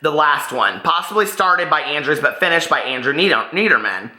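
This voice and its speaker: An American man in his forties hosting a casual book vlog, talking in an animated, gossipy, emphatic way. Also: on the high side, fairly quick. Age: 40s. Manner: animated, gossipy, emphatic, often repeating himself for effect. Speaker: book vlogger and host. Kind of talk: casual chatty vlog with bits read aloud. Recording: roomy, echoing indoor sound.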